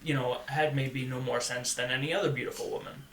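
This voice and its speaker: Roomy sound, male podcast panelist, average pitch, thoughtful halting delivery, conversational. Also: steady tone, a bit bright. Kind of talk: conversational discussion. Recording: echoey room, faint background noise.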